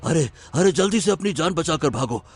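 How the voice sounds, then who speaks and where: An actor playing a wary, easily alarmed but clever husband in an animated fairy tale. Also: male voice, fairly high pitch, moderate pace, breathy edge, faint music behind. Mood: panicked.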